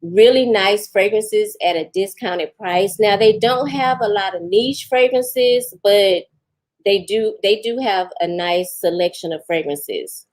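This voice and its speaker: A woman in her forties chats loosely with her live audience in a drawl. She laughs easily and teases the viewers who comment. Her voice sits at an ordinary middle pitch for a woman. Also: measured pace, a little mellow. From Houston, Texas. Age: 40s. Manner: chatty, laughs often, talks back to viewers. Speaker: vlogger answering live chat. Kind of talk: casual live-stream chat.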